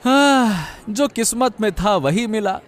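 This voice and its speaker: A man's voice: high voice, expressive, takes it slowly, some chatter behind. A man voicing an honest, principled poor fish seller in a cartoon story, speaking earnestly with indignation.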